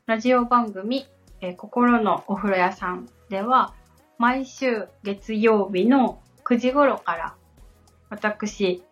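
A woman's voice: medium pitch, slow. Polite woman on a slightly muffled recording. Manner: polite, simply worded. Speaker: podcast co-host and assistant. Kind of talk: conversational podcast talk, answering questions. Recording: slightly dull audio with background music. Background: background music.

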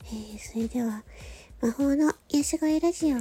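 A woman's voice: high-pitched, moderate pace, poor audio. A woman hosting a solo audio show, chatting casually and thinking aloud in a conversational way. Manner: conversational, thinking aloud, frequent soft agreeing particles. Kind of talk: casual monologue on a chosen theme.

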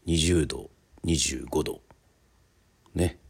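かなり低く、かすれた声の男性の語り部. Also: male voice, rumbling low, fairly even intonation, breathy edge. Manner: mock-formal, drawn-out announcer phrasing. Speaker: narrator, storyteller. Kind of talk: theatrical radio drama narration.

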